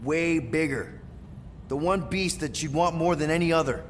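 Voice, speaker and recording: A man playing a scrappy, earnest leader of space adventurers in a video game, pleading and excitable in an informal way. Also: fairly high pitch, normal speed, slight background noise, close to an everyday voice. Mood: eager. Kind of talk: video game cutscene acting.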